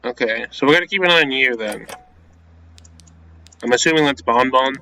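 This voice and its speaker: Bright-voiced young man doing a let's play. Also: medium pitch, moderate pace, rough recording. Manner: slangy, jumpy, talking to himself. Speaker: gaming video creator. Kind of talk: casual let's play, reading visual novel text aloud and reacting.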